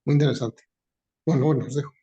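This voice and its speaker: A man's voice: mid-range voice, fairly even intonation, fast, warm tone, breathy edge, highs cut off. A man in the audience giving a casual, rambling comment during an academic discussion. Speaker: audience participant.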